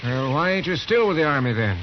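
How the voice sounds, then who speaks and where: An actor playing a plain-spoken, skeptical cowhand with dry, folksy cowboy speech in an old radio western. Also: male voice, medium pitch, sing-song, a little fast, natural voice. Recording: muffled, noisy old radio recording.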